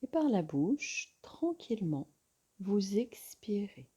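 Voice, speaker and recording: A woman yoga teacher guiding a relaxation meditation in a soft, soothing, breathy voice with long pauses. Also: medium pitch, sing-song.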